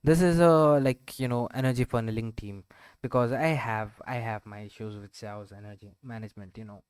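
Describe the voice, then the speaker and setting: A man's voice: mid-range voice, moderate pace, smooth, no echo. A man giving casual gameplay commentary as a streamer, thinking aloud in a rambling, hesitant way. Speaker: gaming streamer. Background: loud game music.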